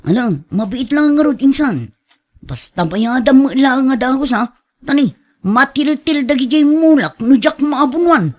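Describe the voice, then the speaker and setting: A woman's voice: high-pitched, lively intonation, a little slow. A woman playing an outspoken, sceptical, teasing female relative in an Ilocano radio drama, with an Ilocano accent. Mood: reluctant. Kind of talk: Filipino radio drama acting.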